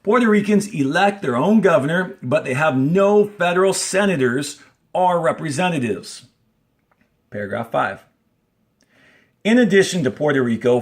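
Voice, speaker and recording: A man teaching a grade-school reading lesson, explaining step by step and reading aloud, with pauses on key words. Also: on the high side, lively intonation. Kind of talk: instructional lesson with passage read aloud.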